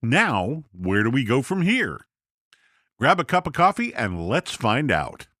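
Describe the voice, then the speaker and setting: A man narrating a scripted story for a podcast in a steady, measured way. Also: mid-range voice, no echo, clean studio sound.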